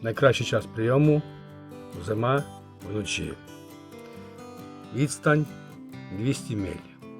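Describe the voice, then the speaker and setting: A man giving a casual hands-on review as a channel host, halting and thinking aloud, muttering remarks to himself. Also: lowish voice, expressive, faint music behind. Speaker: channel host, radio repairer.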